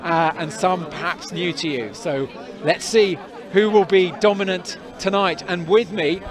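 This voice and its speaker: A man's voice: high voice, steady tone, unhurried. A man doing live sports commentary and interviews, chatty and rambling with many fillers and restarts. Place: outdoors at a climbing event. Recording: crowd noise behind.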